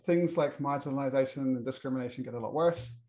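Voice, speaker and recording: British man moderating a panel, halting. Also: mid-range voice, dark tone. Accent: British English. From Britain. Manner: hesitant, many restarts and fillers. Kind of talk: presenting a panel introduction.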